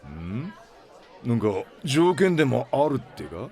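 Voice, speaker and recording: A male actor playing a gruff, blustery middle-aged starship captain in a Japanese audio drama, with rough, brusque, slangy speech. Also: medium pitch, very animated, takes it slowly, warm tone, a faint crowd. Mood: teasing, scolding. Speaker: ship captain.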